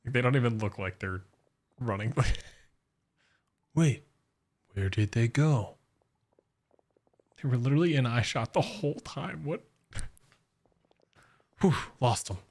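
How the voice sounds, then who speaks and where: A man's voice: medium pitch, slow pace, long pauses, a little mellow. A man giving casual, wry live commentary while playing a game on stream. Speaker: game livestreamer.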